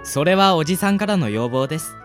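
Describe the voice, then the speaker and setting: A man's voice: fairly high pitch, lively intonation, a little fast, soft background music, lightly acted. A man acting a polite, easygoing young florist's son in a radio drama. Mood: sheepish, matter-of-fact. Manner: polite, easygoing, soft-mannered speech. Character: polite, cheerful young son minding a flower shop.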